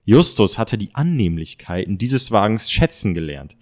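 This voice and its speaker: Male narrator, lowish pitch, scripted storytelling. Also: big pitch swings, normal speed.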